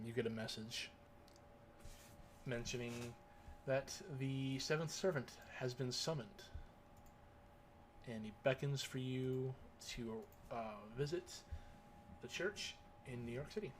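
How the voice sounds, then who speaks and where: A man narrating as game master of a tabletop roleplaying game, in a halting way with many filler words. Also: mid-range voice, slight background noise.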